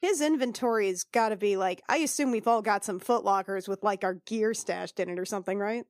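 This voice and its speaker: A woman with an average-pitched voice talks through her moves in a tabletop game. She is casual and a little self-conscious, stopping and starting as she goes. The sound is dry. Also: fairly quick, dry recording. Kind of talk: casual in-character table talk.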